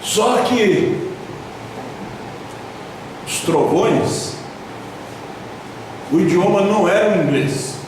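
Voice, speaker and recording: A Brazilian pastor with a southern Brazilian accent preaching a sermon in a church hall, with sudden loud emphasis and slowly drawn-out stresses. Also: male voice, fairly high pitch, background noise. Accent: southern Brazilian Portuguese. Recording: echoey church hall from far off.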